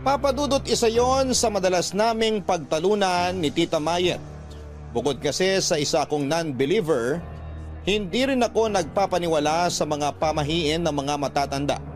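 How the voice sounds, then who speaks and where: A man playing a skeptical letter sender, narrating his confession in a measured radio-drama storytelling style. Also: high-pitched, close to an everyday voice. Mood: reflective. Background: music.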